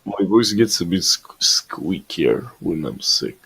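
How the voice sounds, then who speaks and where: A teenage boy with a very deep, gravelly voice, sick and strained, joking deadpan in a casual interview. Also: takes it slowly. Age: teens. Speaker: guest music producer.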